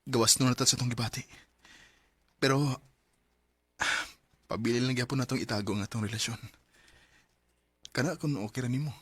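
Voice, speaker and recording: A man's voice: mid-range voice, fairly even intonation, breathy edge, dry recording, natural voice. Man acting hesitant young Cebuano man in radio drama. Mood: earnest, gentle. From Philippines. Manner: hesitant, halting.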